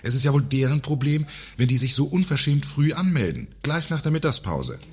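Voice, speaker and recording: An actor playing a pompous, irritable, controlling company director in a satirical radio play. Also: male voice, medium pitch, lively intonation, a little fast, slightly rough. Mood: indignant. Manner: pompous, self-important. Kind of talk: German radio play acting.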